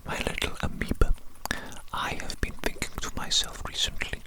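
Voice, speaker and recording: A performer whispering an ASMR roleplay as a megalomaniac evil scientist, theatrical and deliberate, often repeating phrases. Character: megalomaniac evil scientist doting on his creation.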